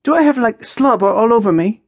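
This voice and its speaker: A narrator voicing a lilting, high-pitched teasing young woman. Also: brisk, no echo. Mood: disgusted, embarrassed. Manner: lyrical, expressive. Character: lively, teasing young woman, lyrical and earnest.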